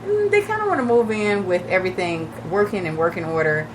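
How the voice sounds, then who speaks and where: A woman radio host with a raspy, slightly shaky voice from recently losing it, chatting casually and advising listeners directly. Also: medium pitch, expressive, brisk, noisy background. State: recovering from lost voice, a little shaky. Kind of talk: casual radio talk on real estate. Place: radio studio.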